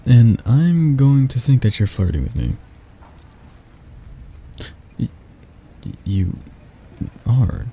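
A man playing a grumpy but soft-hearted barista, flustered and flirty, stammering and teasing in a romantic ASMR roleplay. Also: medium pitch, very animated, slow pace, long pauses, a little hiss or hum. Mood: flustered disbelief.

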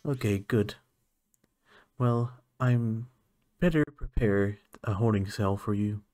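A lonely alien scientist, acted by a man in an ASMR roleplay, with a fairly low voice. He speaks slowly and haltingly, musing earnestly. The sound is dry and close, with no room echo. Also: steady tone, darkish tone. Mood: hesitant, awkward.

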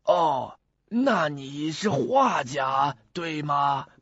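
A man playing a chatty, boastful old theater odd-jobber with a husky, creaky voice and folksy manner in a radio drama. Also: a little high, animated, very slow, a bit bright, no room sound, exaggerated voice. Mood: friendly, curious. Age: older. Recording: muffled lo-fi audio with faint music.

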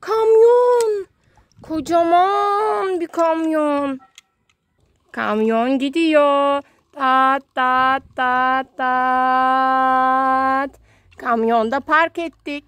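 A woman's voice: sits high, drawn-out words, long pauses, a bit bright, no room sound. A mother playing with toy cars outdoors and counting them for her small child, in a sing-song voice full of toy sound effects. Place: outdoors in a garden.

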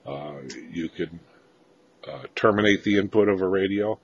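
A man giving an informal, unscripted walkthrough of equipment, pausing often mid-phrase as a hobbyist presenter. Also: deep voice, husky. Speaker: hobbyist presenter of radio gear. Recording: dull lo-fi sound with faint noise.

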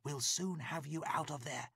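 A man reading a storybook, voicing a calm young woman police officer who speaks gently and steadily. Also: a little high, moderate pace, creaky, slightly rough, dry recording, clean studio sound, voice pushed up, heavily acted. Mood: calm reassuring.

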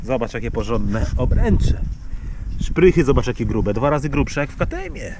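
A man's voice: mid-range voice, very animated, moderate pace. A man chatting enthusiastically to viewers while riding a dirt bike outdoors, recorded on a helmet camera. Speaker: enduro vlogger. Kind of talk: casual ride commentary. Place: outdoors on dirt tracks and fields, riding a motorbike. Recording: loud engine and wind noise.

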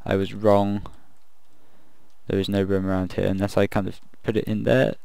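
A man casually commenting while he builds in a video game, thinking aloud and rambling, his voice croaky from the late hour. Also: on the low side, level tone, moderate pace, dark tone, no room sound. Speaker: gaming video creator.

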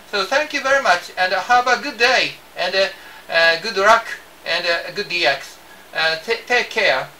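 Older Japanese-accented man, bright voice, on ham radio. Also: sits high, far from the mic, a little hiss or hum, low-quality recording. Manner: halting, repeats words, spells in phonetic alphabet. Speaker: amateur radio operator. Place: small indoor radio desk.

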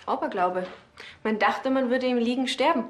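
A woman's voice: fairly high pitch, moderate pace, soft background music, lightly acted. A woman playing an anxious, knowledgeable museum assistant in a TV crime comedy. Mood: explanatory. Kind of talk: television crime comedy acting.

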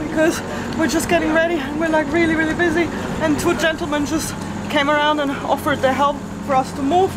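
A woman's voice: sits high, heavy background noise. A woman in her thirties hosting a sailing vlog, talking casually to a camera held at arm's length and laughing often. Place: outdoor market and sailboat.

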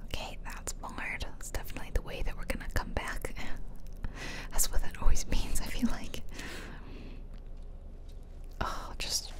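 A woman's voice: measured pace, long pauses. A woman whispering playful, rambling ASMR commentary over a video game, close to the microphone. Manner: playful, self-deprecating, rambling asides. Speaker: game streamer, ASMR creator. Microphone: close ear-to-ear ASMR microphone.

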